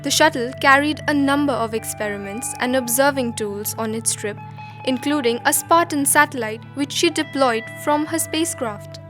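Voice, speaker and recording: A woman narrating a scripted documentary drama in a measured, solemn storytelling manner. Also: sits high, music in the background.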